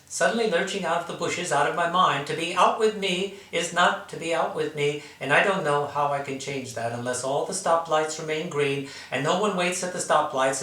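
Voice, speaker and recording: A man with a fairly high, forceful voice reads literary prose as a spoken-word piece. His phrasing is theatrical and rhetorical, leaning hard on emphasis. He sounds some way off from the microphone. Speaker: writer reading own work. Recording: echoey room, distant mic.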